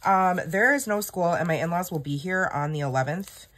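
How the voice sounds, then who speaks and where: A woman's voice: on the low side, normal speed, fairly bright tone. A woman in her thirties with a slightly scratchy voice, chatting casually while she plans a notebook spread at her desk in a home craft room. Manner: chatty, self-deprecating, rambling. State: scratchy voice from illness. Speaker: planner vlogger. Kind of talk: casual chat while planning. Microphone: overhead camera, hands only in frame.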